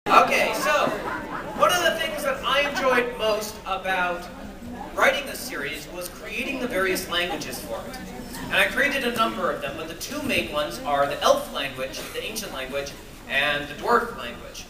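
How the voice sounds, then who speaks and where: High-voiced man in an echoey hall. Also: very animated, normal speed, crowd noise. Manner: playful and self-mocking, with jokes and laughs. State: jet-lagged. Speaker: author reading at a fan event. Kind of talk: live talk and reading to an audience. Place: convention hall with an audience. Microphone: phone or camera recording from the audience. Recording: echoey hall, voice from far off, noisy.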